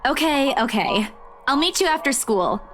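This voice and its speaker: An anxious protective girl in a visual novel, high voice that swings around a lot. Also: lively intonation, normal speed, a bit bright. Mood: reluctant, teasing.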